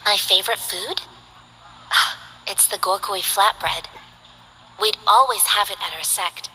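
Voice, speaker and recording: A woman's voice: medium pitch, animated, slow, slight background noise, exaggerated voice, rough recording. An actress playing a composed, earnest young female Taoist martial artist in a game. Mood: calm. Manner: composed, earnest, gently formal.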